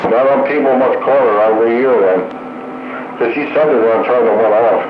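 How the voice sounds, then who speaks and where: A man's voice: medium pitch, brisk, reverberant. Muffled man chatting over noisy radio. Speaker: radio hobbyist in a group conversation. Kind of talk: casual radio chat.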